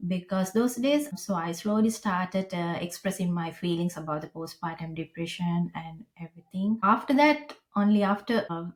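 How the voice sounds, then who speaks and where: A woman's voice: on the low side, low-quality recording. Sri lankan lady on a podcast, earnest, lots of uh and restarts. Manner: earnest, halting, many fillers and restarts. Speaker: podcast guest. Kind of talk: personal story in an interview.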